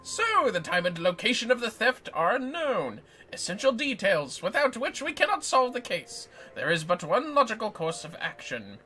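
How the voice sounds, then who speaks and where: A woman voicing a self-important gentleman inspector from a video game, declaiming in grand, florid, theatrical exclamations. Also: a little high, sing-song, moderate pace, hardly any pauses, a little hiss or hum. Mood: earnest, self-important.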